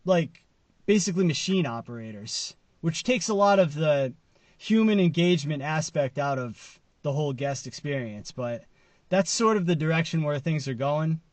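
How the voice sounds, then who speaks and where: Higher-voiced man, casual commentary, dry sound. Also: expressive, normal speed, a little mellow, dry recording. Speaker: vlogger commenting on news. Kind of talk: casual commentary to camera, part read from an article. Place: inside a parked car.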